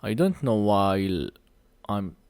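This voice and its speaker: A man who speaks English as a second language gives a hands-on repair tutorial in halting short phrases, a little short of breath. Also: on the low side, very animated, a little mellow, clean tone, dry recording. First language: not English. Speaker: phone repair technician presenting a tutorial.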